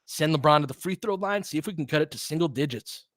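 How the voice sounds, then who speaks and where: A man's voice: fairly high pitch, lively intonation, fast, no room sound. Casual man calling a game, dry close sound. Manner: informal, conversational, chatting with listeners. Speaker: live audio play-by-play host. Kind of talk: casual fan play-by-play commentary and analysis.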